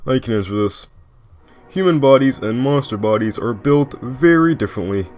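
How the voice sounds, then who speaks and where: A man's voice: medium pitch, expressive, a little mellow, soft background music, close to an everyday voice. A man voicing a laid-back, teasing, knowing skeleton big brother, explaining things casually in a comic dub. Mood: calm explaining. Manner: casual, unbothered, drawn-out explaining.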